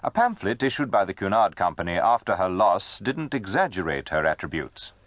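A man's voice: sits low, big pitch swings, moderate pace, fairly bright tone, no room sound. A man narrating a dramatised history from a script.

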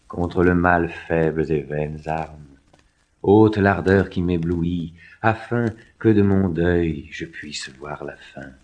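A man giving a solemn, declamatory recitation of literary poetry. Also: low-pitched. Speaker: poetry reader.

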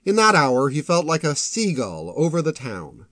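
Expressive male audiobook narrator, lively intonation. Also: fairly high pitch, normal speed, dry recording, clean studio sound. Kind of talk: scripted storytelling narration of epic fantasy.

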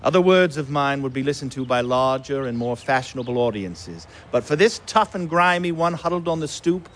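A nostalgic, literate man tells his own story, as voiced by an actor in an audio drama. His delivery is scripted, measured and wry, with fondness for his younger self. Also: medium pitch, lively intonation, fairly bright tone, lightly acted. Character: grown playwright fondly recalling his lonely boyhood. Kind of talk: first-person scripted narration in audio drama.

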